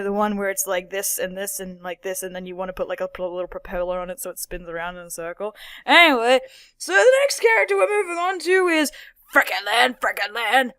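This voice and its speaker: Foul-mouthed, animated woman rambling. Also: a little high, smooth, no echo. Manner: profane, animated, keeps slipping into impressions. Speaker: YouTuber reviewing a childhood cartoon. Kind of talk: casual rambling commentary.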